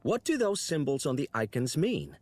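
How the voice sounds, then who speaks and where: An actor playing a curious young apprentice angel in a video game, informal and inquisitive. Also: male voice, fairly high pitch, very animated, moderate pace, dry recording. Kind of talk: video game character dialogue.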